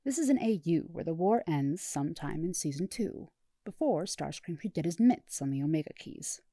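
A woman narrating a fan story with calm, even delivery. Her voice sits low with a gravelly fry and a dark, mellow tone, recorded dry and close. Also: moderate pace, no room sound. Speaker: podfic reader. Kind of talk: audiobook narration.

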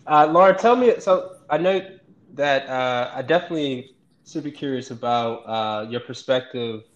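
A man's voice: mid-range voice, moderate pace. A man interviewing a guest, asking long, rambling questions full of uhs. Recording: dull, slightly noisy recording.

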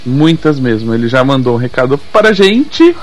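A man's voice: medium pitch, very animated, moderate pace, faint music behind. A man hosting a talk show, teasing his co-host and reading out listener mail. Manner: joking, teasing banter. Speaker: podcast host.

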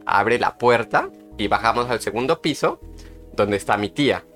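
A man's voice: mid-range voice, animated, normal speed, a bit bright, faint music behind. A young man telling a personal story in a casual podcast chat, hesitant and full of fillers. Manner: hesitant, rambling with many fillers. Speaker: podcast co-host.

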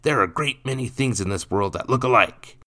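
A man voicing a rough, bullying, thieving stepfather in an audiobook, gruff and coarse in his grammar. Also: medium pitch, lively intonation, fairly quick, pushed and bright, voice pushed up. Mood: irritated. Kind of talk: audiobook character voice.

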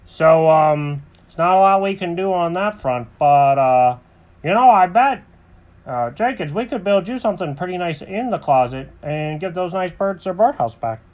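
A man's voice: sits high, expressive, normal speed, a little mellow, voice pushed up. A man voicing an easygoing, helpful animal pal in a children's story, chummy and rambling. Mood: sympathetic. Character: easygoing, upbeat, helpful male animal buddy.

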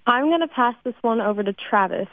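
A woman's voice: fairly high pitch, expressive, brisk, no echo. A teenage young woman, a student cast member, explaining things casually in an interview over a tinny line.

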